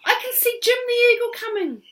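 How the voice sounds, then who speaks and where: A woman's voice: very high-pitched, a little fast, noisy background, voice pushed up. A woman voicing a brave young girl in a children's story, speaking eagerly. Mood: excited.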